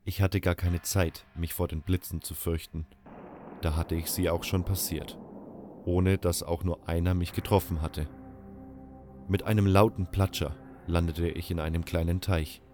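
A man's voice: low-pitched, level tone, normal speed, a little mellow, soft background music. A man narrating a story in the first person, in a dramatic style.

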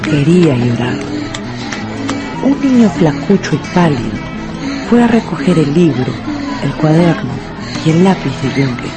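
A woman's voice: low-pitched, sing-song, unhurried, long pauses. A woman with a Peruvian accent narrating a scripted radio story in a dramatic style. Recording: music and schoolyard crowd behind.

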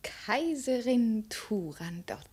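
An actress playing a cold, shrewd young princess with a commanding, ironic and probing manner, in 1950s radio drama style. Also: female voice, on the high side, expressive, slow, a little mellow, breathy edge, exaggerated voice. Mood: suspicious, probing. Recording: 1950s radio studio recording.

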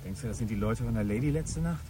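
An actor playing an edgy, wary gangster who talks in rough slang in a German radio crime drama. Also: male voice, medium pitch, expressive, fast talker, background noise, lightly acted. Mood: tense, alarmed. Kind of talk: German radio play dialogue.

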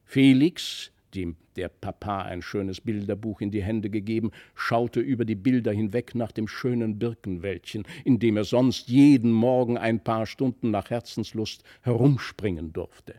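A man's voice: fairly low pitch, a little slow, hardly any pauses, mellow. A man reading a literary fairy tale as narrator, phrasing it carefully and expressively in scripted storytelling style. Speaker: narrator, audiobook reader.

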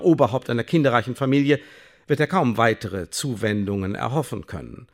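An actor playing a coolly bureaucratic secret service officer in a radio play, speaking in measured, formal officialese. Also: male voice, mid-range voice. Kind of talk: radio drama, dictated official report.

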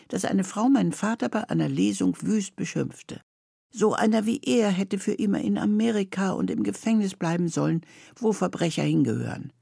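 A woman presenter with a mellow, husky voice and noticeable fry. She reads a scripted introduction calmly and composedly, in a very dry studio. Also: fairly low pitch, dry recording. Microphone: close studio microphone.

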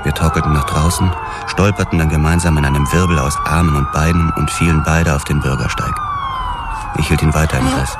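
Very deep grim detective narrator. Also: male voice, huge pitch swings, brisk, heavy background noise, exaggerated voice. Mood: grim, tense. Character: grim, haunted male police detective telling his story. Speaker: narrator and lead actor. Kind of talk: first-person noir radio drama narration. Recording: loud music behind the voice. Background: loud music.

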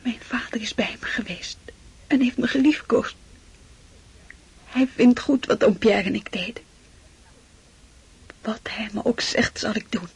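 An actress playing an earnest, idealistic young boy in a radio drama, breathy and fervent. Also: fairly high pitch, heavily acted.

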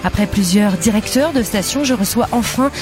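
A woman hosting a radio interview program, in an easy conversational manner. Her voice sits at an average middle pitch. She introduces her guest and leads the conversation with questions. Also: moderate pace, music in the background. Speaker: podcast host, interviewer.

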